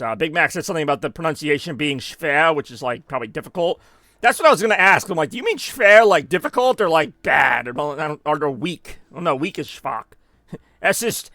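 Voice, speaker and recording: A man's voice: on the high side, bright, forceful tone, no echo, studio quality. A man giving rambling, self-mocking live gameplay commentary as a streamer, now and then dropping in German phrases. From United States. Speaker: gaming streamer.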